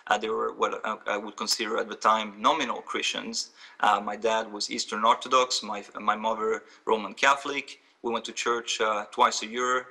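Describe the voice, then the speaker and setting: Guest, man, 40s, sharp bright tone, heard from a distance. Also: lowish voice, creaky. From France. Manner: earnest, fast, with many fillers. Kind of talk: storytelling interview answers.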